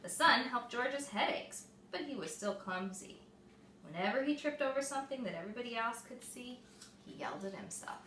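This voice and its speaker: A woman reading a picture book aloud to young children, expressive and explaining things simply, like a mother at storytime. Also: a little high, fairly quick. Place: echoey room with small children present. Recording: echoey room, distant mic, faint noise.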